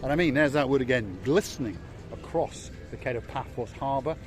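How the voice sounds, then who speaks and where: A man's voice: medium pitch, a little fast. A middle-aged man from Wales giving a chatty, jokey walking tour of a harbour at night, repeating words for emphasis. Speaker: vlog host. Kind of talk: casual walking tour commentary. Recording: music playing behind.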